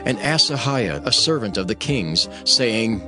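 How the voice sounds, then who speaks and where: A man narrating scripture for a dramatized audio production in a solemn, measured storytelling manner. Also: fairly low pitch, very animated, over music.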